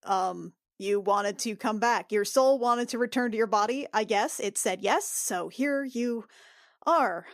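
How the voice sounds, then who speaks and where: A woman's voice: a little high, a little slow, no room sound, clean studio sound. A woman playing an awkward, apologetic celestial-blooded healer in a tabletop game, hesitant and fumbling for words. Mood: flustered, awkward.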